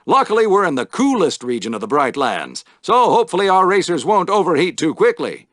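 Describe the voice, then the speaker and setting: An actor playing an upbeat, showy sci-fi podrace announcer in a video game, delivering wisecracking play-by-play commentary. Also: male voice, high-pitched, sing-song, brisk, a bit bright, dry recording, clean studio sound. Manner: showy broadcaster patter with wisecracks. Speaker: sports race announcer.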